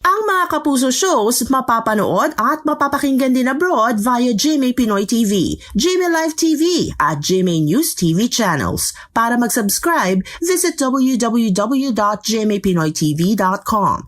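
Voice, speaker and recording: A woman's voice: fairly high pitch, lively intonation, a little slow, hardly any pauses. A Filipino woman reading a scripted broadcast promo voice-over as an announcer.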